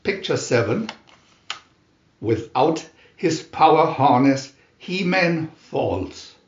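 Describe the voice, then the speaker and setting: A man reading a storybook aloud as dramatic narration, in a theatrical, deliberate manner with emphatic announcements. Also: on the high side, expressive, roomy sound, muffled recording. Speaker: reader of picture-reel story booklets.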